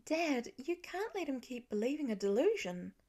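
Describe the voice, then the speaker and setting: Woman as a higher-voiced, skeptical teenage girl. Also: very animated, normal speed, a little mellow. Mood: worried. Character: anxious, skeptical teenage girl, protective older sister. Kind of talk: audiobook character voice in fan fiction.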